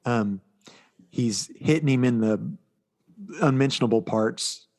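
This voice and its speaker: A man co-hosting a podcast, chatting casually about movies in a halting, storytelling way and often quoting dialogue. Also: medium pitch, darkish tone. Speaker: podcast co-host.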